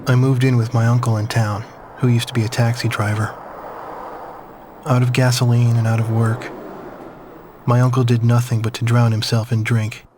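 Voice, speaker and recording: An actor playing a reflective man who recalls his wartime childhood in measured, somber game-story narration. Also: mid-range voice, little pitch movement, noisy background, lightly acted. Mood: wistful, somber. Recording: music behind the voice. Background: prominent music.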